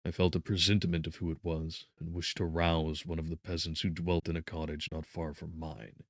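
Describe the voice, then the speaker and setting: A man narrating a gothic horror audiobook in a measured, grave, dramatic manner. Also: extremely low pitch, flat delivery, dry recording, studio quality.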